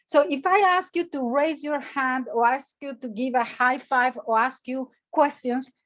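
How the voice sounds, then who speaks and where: Motivational speaker, woman, Mexican Spanish accent, high voice, lively questions to the audience, video call. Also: unhurried, lo-fi sound. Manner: animated, asks the audience questions. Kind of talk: energetic online workshop talk.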